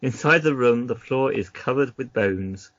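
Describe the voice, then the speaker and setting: A man's voice: medium pitch, lively intonation, normal speed, fairly bright tone, no room sound, highs cut off. A man narrating an adventure gamebook as game master, casually, breaking off into chatty asides.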